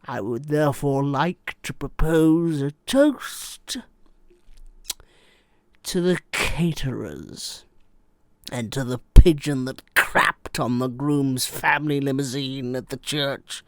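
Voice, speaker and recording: A man playing a bitter, caustic wedding speaker who talks deliberately and scornfully, leaning on drawn-out words in a theatrical comic monologue. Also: mid-range voice, dry recording. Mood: dry, mocking.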